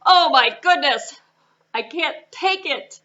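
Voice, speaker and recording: Woman playing an exasperated, whiny, high-pitched farmer. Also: expressive, bright, forceful tone, lo-fi sound, full character voice. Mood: frantic. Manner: pleading, flustered, drawn-out complaints. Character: exasperated, whiny male farmer desperate for quiet.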